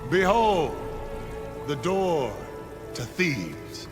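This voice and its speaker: An actor playing a zealous, ceremonious devotee of an ancestor cult in a video game, speaking in a reverent, declamatory way. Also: male voice, a little high, very animated, drawn-out words, exaggerated voice. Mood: proud. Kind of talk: video game cutscene acting. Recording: loud music behind the voice. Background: cinematic music.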